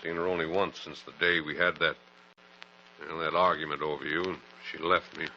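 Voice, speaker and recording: A man's voice: a bass voice, brisk, exaggerated voice. An actor playing a hearty, folksy older sheriff and father in an old radio western. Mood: proud, wistful. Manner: animated, folksy. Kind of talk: 1940s radio western acting. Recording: muffled old radio recording with hum.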